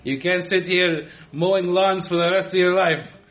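Bit character: a man as an earnest self-made love doctor, slightly high voice. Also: fairly even intonation, moderate pace, fairly bright tone. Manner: stilted, grandiose phrasing with an unplaceable put-on accent. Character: self-taught male love doctor, earnest, oddly formal. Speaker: comedy podcast guest character.

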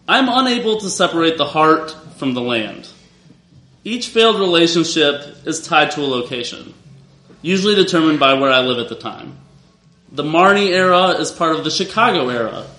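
A man telling a personal story to a live audience in a wry, confessional way, speaking loudly without the microphone. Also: fairly high pitch. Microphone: not using the microphone. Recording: roomy live venue sound.

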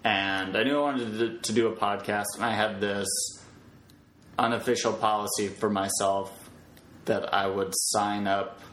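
A man's voice: lowish voice, steady tone, a little slow, a bit bright. A man chatting casually as a podcast guest, telling stories in a hesitant, self-deprecating way. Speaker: podcast guest, web developer. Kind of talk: casual conversational interview. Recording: roomy echo, clear background noise.

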